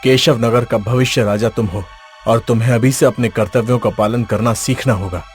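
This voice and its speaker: A man voicing a stern, wise holy man in an animated fairy tale, solemn, commanding and instructive. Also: mid-range voice, normal speed, faint music behind, close to an everyday voice. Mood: solemn counsel.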